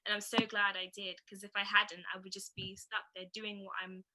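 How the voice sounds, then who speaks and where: A woman's voice: mid-range voice, little pitch movement, normal speed, bright, forceful tone, rough recording. A woman in her twenties from London, with a London accent, talking casually as an interview guest. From London, England. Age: 20s. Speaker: interview guest, drama graduate. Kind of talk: casual conversational account.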